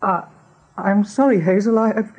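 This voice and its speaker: A woman's voice: mid-range voice, moderate pace, darkish tone, slightly rough, lo-fi sound, lightly acted. A woman playing a timid, apologetic little male rabbit in a radio play. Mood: sheepish. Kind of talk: 1980s radio play acting.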